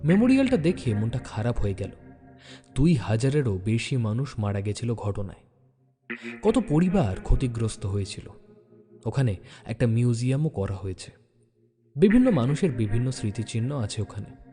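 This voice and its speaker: An actor playing a detective's observant young sidekick, narrating an audio story in a reflective, expressive way. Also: male voice, medium pitch, moderate pace, pauses often, faint music behind, natural voice.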